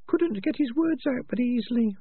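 A storybook she-rat, sharp and cool-headed, speaks in a very high, thin pitch, put on by a male narrator. She is stern and pointed, with reproach in her questions. The sound is close and dry. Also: animated, a little fast, slightly rough, no room sound, narrow, tinny audio, full character voice. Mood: cool, suspicious.

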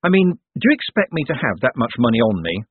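A man voicing a quick-witted, smooth-talking male trickster in an audiobook, glib and ready to bargain. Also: mid-range voice, sing-song, no echo. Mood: tense bargaining. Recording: thin, phone-like band-limited sound.

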